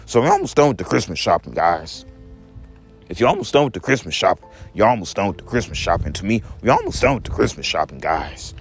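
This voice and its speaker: A young man with a deep voice talking in a rambling daily vlog, repeating each point in an if-you, to-me pattern and calling his viewers guys. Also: gravelly, breathy edge. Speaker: vlogger.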